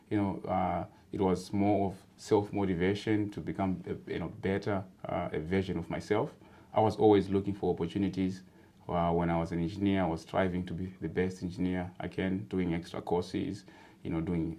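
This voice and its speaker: Deep-voiced man, easygoing. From Zimbabwe. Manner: relaxed and chatty, many fillers, laughs easily. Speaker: guest. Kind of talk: casual interview chat.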